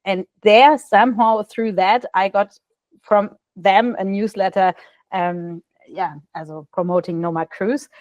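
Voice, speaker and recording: Animated woman on a dry video call. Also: medium pitch, a little slow, no room sound, highs cut off. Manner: animated, gestures a lot, frequent restarts and "no?" tags. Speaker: podcast guest. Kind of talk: casual interview storytelling. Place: bright room indoors.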